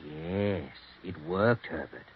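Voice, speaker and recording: An actor playing an ominous, knowing storyteller who narrates a 1940s radio mystery. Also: male voice, sits low, lively intonation, takes it slowly, slight background noise. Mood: sly, knowing. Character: ominous, knowing male storyteller of weird tales. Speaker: narrator host. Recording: dull 1940s radio recording with music.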